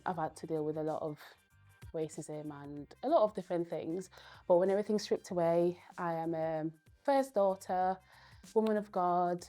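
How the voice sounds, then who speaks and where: Young woman, 20s, Spanish speaker, earnest, thoughtful pauses, lowish voice. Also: warm tone. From Spain. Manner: earnest and thoughtful, pausing often to gather words. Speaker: guest, powerlifter. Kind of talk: reflective interview answers.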